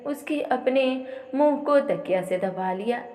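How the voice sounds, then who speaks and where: A woman's voice: a little high, expressive, a little slow, dark tone, soft background music. A woman narrating a dramatic audio story as a storyteller, in an echoey, reverberant recording.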